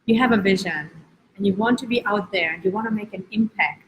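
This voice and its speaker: Woman from Spain, life coach, earnest and encouraging, mid-pitched voice, faint background noise. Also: rough recording. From Spain, raised in Germany. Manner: earnest, encouraging, uneven pace with drawn-out words. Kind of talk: live-streamed motivational talk.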